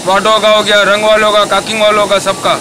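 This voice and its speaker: A middle-aged man from Pakistan who paints boats, explaining his work in a plain, halting way with restarts, recorded outdoors in a boatyard on a camera mic. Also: high-pitched, completely flat delivery, background noise. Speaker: boat painter.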